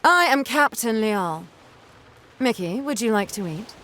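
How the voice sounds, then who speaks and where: A woman's voice: on the high side, animated, a little slow, a bit bright, slight background noise. A woman playing an assertive but kindly pirate captain in an audio drama. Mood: gracious. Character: assertive, reasonably kind female pirate captain.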